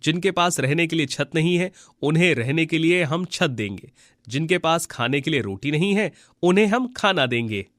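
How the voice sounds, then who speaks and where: A man voices a humble, good-hearted village husband from a cartoon folk tale. His voice sits a little high and sounds close and dry, and he speaks with earnest, pleading emphasis and simple devotion. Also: lively intonation, moderate pace, fairly bright tone, dry recording. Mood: generous, earnest. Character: kind, honest, devout poor villager husband, earnest and humble. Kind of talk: animated moral folk tale dialogue.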